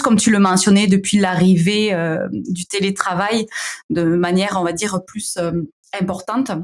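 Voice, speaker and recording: A young woman with a southern French accent, a guest answering interview questions in a relaxed way, with frequent fillers and restarts. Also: medium pitch. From southern France. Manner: hesitant, many fillers and restarts, laughs often. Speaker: podcast guest, founder of a digital wellbeing company. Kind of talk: conversational interview.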